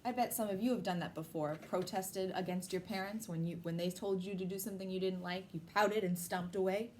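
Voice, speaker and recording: Female primary teacher, read-aloud with plain explanatory asides, medium pitch, slightly noisy recording. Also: fairly even intonation, fairly quick, darkish tone. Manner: explains simply, as to young children. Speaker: teacher reading a picture book to a class. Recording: noisy background.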